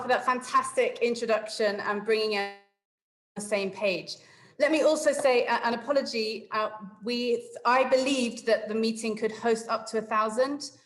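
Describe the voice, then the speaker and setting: A woman's voice: a little high, a bit bright, echoey room. A woman with an English accent, hosting an online meeting and guiding people step by step, with frequent fillers and apologies. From England. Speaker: webinar facilitator. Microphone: video call.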